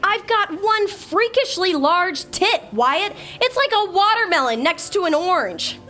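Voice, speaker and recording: A woman playing an exasperated, sharp-tongued young woman in a comedic audio drama. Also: very high-pitched, big pitch swings, a little slow, heavily acted. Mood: mortified.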